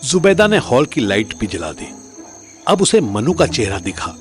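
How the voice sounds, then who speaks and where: A man's voice: medium pitch, sing-song, a little slow, faint music behind. A man narrating a scripted story in a dramatic storytelling style.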